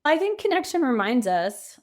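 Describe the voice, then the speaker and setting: A woman speaking as a coach and podcast guest, reflective and conversational, with emphatic phrasing and deliberate pauses. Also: sits high, big pitch swings. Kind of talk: conversational interview.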